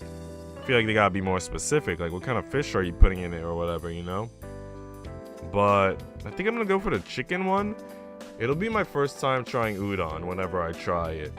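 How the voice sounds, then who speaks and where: A man live streaming in a slangy, conversational way, chatting casually as he plays through a visual novel. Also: fairly low pitch. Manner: slangy, conversational, often addressing listeners as bro and dude. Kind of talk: casual chat while reading a visual novel aloud. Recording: music behind the voice. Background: game music.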